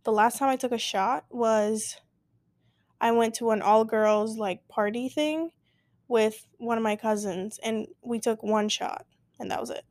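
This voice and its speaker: A young woman in her twenties talks through her own podcast, rambling and cutting herself off mid-thought. Her voice sits a little high. The sound is dry and close, with no room in it. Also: a little slow, a little mellow, dry recording. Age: 20s. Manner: rambling, filler-heavy, self-interrupting. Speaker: podcast host. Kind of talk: casual solo podcast chat. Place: at home, late at night.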